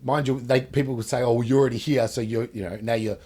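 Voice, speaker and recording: A man's voice: medium pitch, fairly even intonation, quick pace, dark tone, slightly rough. A man co-hosting a casual podcast, talking informally and hesitantly, often repeating himself. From Wales, later Australia. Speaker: podcast host. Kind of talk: casual unscripted podcast discussion.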